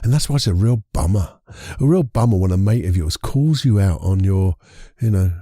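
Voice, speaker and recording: Man, croaky voice, podcast host, dark mellow tone, dry close sound, chatty and rambling. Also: low voice, animated, moderate pace, dry recording. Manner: chatty, rambling, with self-corrections and chuckles. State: croaky voice from a lingering cold. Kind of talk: casual solo podcast monologue.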